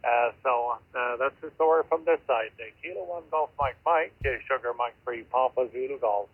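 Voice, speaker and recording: Through a thin, narrow-band radio link with fading volume comes a man with a mid-pitched voice. He is an amateur radio operator chatting in a hesitant, stop-and-start way, with a dry sound free of echo. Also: breathy edge. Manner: halting, hesitant delivery with many pauses. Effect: heard through a radio receiver. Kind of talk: ham radio contact chat.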